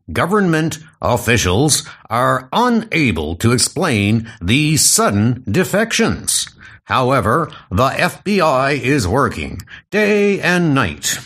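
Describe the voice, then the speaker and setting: A man's voice: mid-range voice, a bit bright, slightly rough. A man narrating a dramatized comic-book story as a scripted audio drama.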